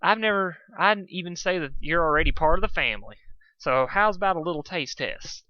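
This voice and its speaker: A man's voice: fairly high pitch, expressive, brisk, bright, forceful tone, pitched above the performer's own voice. A man voicing a friendly, hospitable cartoon farm mare for a fanfic reading, with folksy, hearty country phrasing. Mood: friendly, proud. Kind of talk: amateur storybook character reading. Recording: dull, lo-fi home recording.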